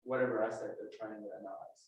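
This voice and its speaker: A man's voice: on the low side, fairly even intonation, fast talker, a little hiss or hum. A man on a panel explaining his work informally. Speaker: company representative on a panel. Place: large room at live event. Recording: distant mic, echoey room, dull.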